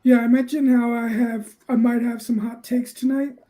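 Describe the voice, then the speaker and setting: A woman on a panel giving her film opinions casually, in a measured, hedging way. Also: a little high, level tone, mellow. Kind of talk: casual chat.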